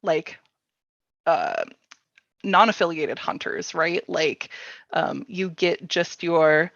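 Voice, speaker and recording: The recording is roomy and a little dull. A woman with a slightly low voice talks casually about tabletop games. She is informal and halting, thinking out loud as she goes. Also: vocal fry. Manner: informal, halting, thinking aloud with many likes. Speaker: panelist at a tabletop roleplaying storytelling workshop.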